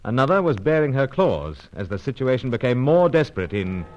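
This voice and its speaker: An actor playing a suave, unflappable secret agent in an old radio serial, with clipped, plummy speech. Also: male voice, mid-range voice, big pitch swings, brisk, darkish tone, natural voice. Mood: dramatic narration. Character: suave British male secret agent, jaunty, unflappable, narrating. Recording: dull old radio recording.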